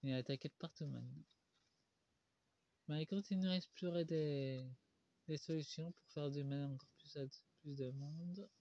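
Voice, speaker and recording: A man with a cold, doing a solo tarot card reading, slowed by many pauses and fillers. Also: a little high, vocal fry, mellow, no room sound. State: stuffy with a cold. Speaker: tarot reader. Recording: dull lo-fi recording.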